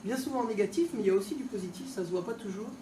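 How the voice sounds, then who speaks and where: Female local official, mid-pitched, informal unscripted speech with hesitant conversational flow; distant pickup with clear background noise. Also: dark tone. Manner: conversational, halting off-the-cuff delivery. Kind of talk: informal opening speech at an exhibition. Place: indoors, tourist office exhibition hall. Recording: echoey room, distant mic, background noise.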